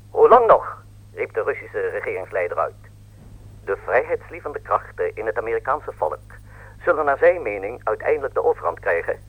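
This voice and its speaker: A dull, lo-fi radio broadcast carries a male news correspondent. He declaims formal statements with big swings of pitch and a husky edge. The delivery is solemn and scripted, as in a radio drama. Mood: declamatory, emphatic.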